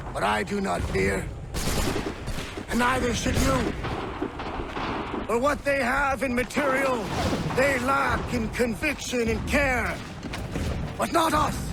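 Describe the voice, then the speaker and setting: Gravelly, fiery army commander. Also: male voice, extremely high pitch, very noisy, exaggerated voice. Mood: rousing, fervent. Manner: blunt, salty, oratorical. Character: gruff, fiery American revolutionary army commander. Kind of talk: video game cutscene acting.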